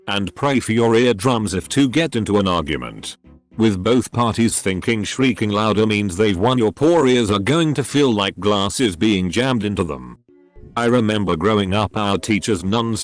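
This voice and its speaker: A man narrating, reading online posts aloud in an even, matter-of-fact way over faint background music. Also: lowish voice.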